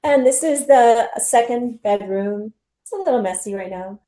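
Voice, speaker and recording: A woman from New Jersey giving a casual home tour and interview, chatty and halting, laughing easily. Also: fairly high pitch, warm tone. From New Jersey, USA. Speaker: interview guest and expat homeowner.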